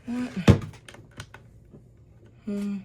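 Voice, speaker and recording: A woman's voice: medium pitch, flat delivery, very slow. A woman hosting a casual, unscripted unboxing video, muttering to herself and thinking aloud as she goes. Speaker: host of gadget unboxing video. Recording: noisy recording with clicks.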